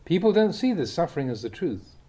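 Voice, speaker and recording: A man reading Buddhist teachings aloud as a scripted narration, in deliberate, short phrases. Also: fairly high pitch, very animated, warm tone. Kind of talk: scripted audiobook reading of a Dhamma talk.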